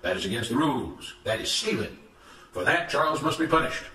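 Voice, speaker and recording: A man voicing a smooth-talking, self-righteous plantation overseer in a storybook, speaking in a declamatory, emphatic way. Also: medium pitch, expressive, a little fast, bright, forceful tone, roomy sound, far from the mic, slight background noise, pitched above the performer's own voice, poor audio. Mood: stern. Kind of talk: dramatised storybook narration.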